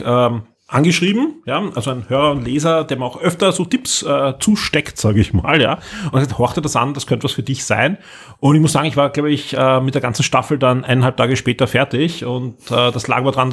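Slightly husky man chatting on a podcast. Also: medium pitch. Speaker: podcast host. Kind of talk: casual podcast chat about radio plays.

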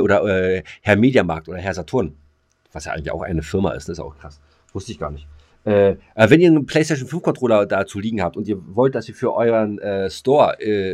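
A man's voice: lowish voice, darkish tone, husky. A man, chatting casually as a podcast co-host in a slangy, crude, rambling way.

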